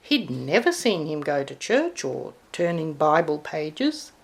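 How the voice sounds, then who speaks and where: A woman reading a rhyming poem aloud in an expressive storybook narration style. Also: low-pitched, takes it slowly, vocal fry. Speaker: poem reader.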